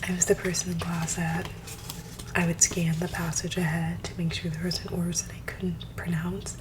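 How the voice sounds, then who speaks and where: A woman whispering soft-spoken ASMR at home, with low tones and a slight throat scratch, talking quickly and stumbling over hard words. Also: level tone, recorded from a distance, noisy background. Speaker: ASMR creator.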